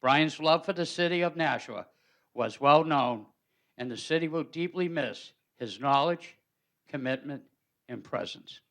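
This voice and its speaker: A man, a city alderman, reading out a formal resolution in a steady, measured official recital into a handheld microphone in a council chamber. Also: on the high side.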